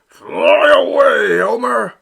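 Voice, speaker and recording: A man voicing an old, stubborn father duck who speaks gruffly and insistently. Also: very high voice, lively intonation, slow pace, a bit bright, voice pushed up, heavily acted. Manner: gruff, insistent and distant-sounding.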